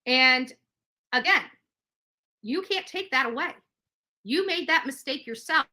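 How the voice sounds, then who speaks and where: Bright, high-voiced woman on an emphatic livestream rant. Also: lively intonation, unhurried. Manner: combative, emphatic, repeats phrases for stress. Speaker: livestream host. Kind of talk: livestream commentary rant to viewers.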